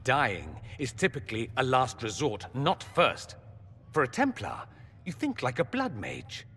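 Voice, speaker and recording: An actor playing a wry, haughty mage in a video game, speaking in a polished, sardonic, arch way. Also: male voice, mid-range voice, huge pitch swings, measured pace, a bit bright, slightly rough, heavily acted. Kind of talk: dramatic video game acting.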